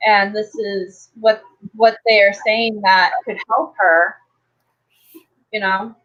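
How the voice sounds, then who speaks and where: A woman's voice: mid-range voice, steady tone, slow pace. A woman in a casual panel discussion, explaining her points a bit tentatively over dull, lo-fi call audio. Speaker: talk show panelist.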